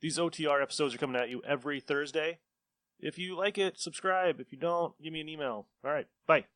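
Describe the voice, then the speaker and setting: A man's voice: on the high side, a little fast, fairly bright tone, dry recording. Male podcast host, dry close sound. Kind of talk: casual introduction to old radio episodes.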